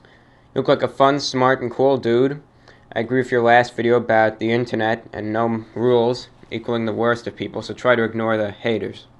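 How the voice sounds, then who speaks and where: A man's voice: medium pitch, flat delivery, clear, resonant tone. Formal man reading listener emails. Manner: formal, measured, halting delivery. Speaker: host reading fan mail. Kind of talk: radio-style monologue reading emails.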